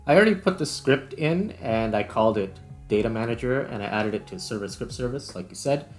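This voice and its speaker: A man's voice: mid-range voice, lively intonation, fairly quick, soft background music. A man hosting a tutorial vlog, talking casually and informally as he works through steps. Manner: informal, conversational. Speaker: video host.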